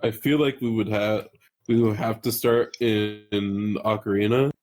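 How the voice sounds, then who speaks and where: Unhurried man chatting on a podcast. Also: on the low side, fairly even intonation, a little mellow. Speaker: podcast panelist. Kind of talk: casual chat among friends.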